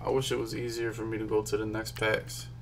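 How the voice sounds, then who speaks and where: A young man from Philadelphia, a gaming streamer, chatting casually and excitedly to his chat while opening card packs in a small room at home. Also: fairly low pitch, a little fast, slight background noise. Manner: chatty, hyped, talks to chat while clicking. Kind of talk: casual pack-opening commentary.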